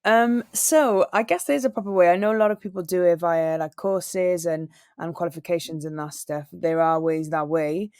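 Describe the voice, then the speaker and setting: A young woman, a singer and radio presenter, chatting in a relaxed interview and acting out the people in her stories. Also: lowish voice, moderate pace, few pauses, dry recording, clean studio sound. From Wales. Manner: animated storyteller, acts out quoted people.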